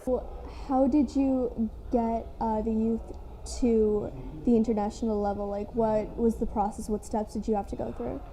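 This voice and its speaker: A woman conducting an interview asks her questions in a friendly, encouraging way. Her voice sits a bit high yet sounds very warm and dark, with faint background noise behind her. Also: level tone, moderate pace, hardly any pauses, poor audio.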